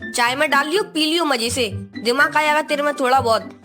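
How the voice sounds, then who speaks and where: A woman's voice: sits high, a little fast, lightly acted. A woman playing a bad-tempered old mother-in-law who scolds and hurls insults at everyone. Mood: shrieking abuse. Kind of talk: comedy sketch acting. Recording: clear music behind the voice.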